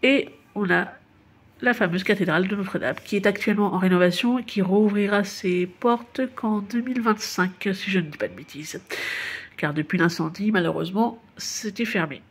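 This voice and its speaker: A woman's voice: medium pitch, normal speed, slightly rough. A woman from Paris giving a casual spoken tour, chatty and informal, laughing at her own slips. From Paris, France. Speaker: narrator of a guided city tour. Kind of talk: casual spoken tour narration addressed to the listener.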